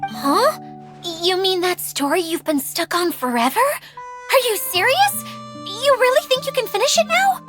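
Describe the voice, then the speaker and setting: Perky, earnest young girl game character, very high pitch, bouncy intonation. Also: unhurried, over music, heavily acted. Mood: astonished. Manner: perky, expressive. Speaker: voice actor. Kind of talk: animated game dialogue.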